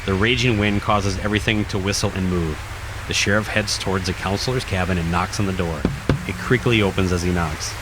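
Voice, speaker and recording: A man reading scripted horror narration in a dramatic, deliberate storytelling manner. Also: low-pitched, background noise. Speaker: narrator, podcast host.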